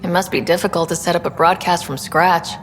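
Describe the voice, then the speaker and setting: A woman's voice: lowish voice, steady tone, a little fast, natural voice. Woman acting a composed space explorer, echoing hall. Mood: curious. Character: composed, friendly female space explorer and leader. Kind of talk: video game dialogue. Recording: reverberant hall with faint noise.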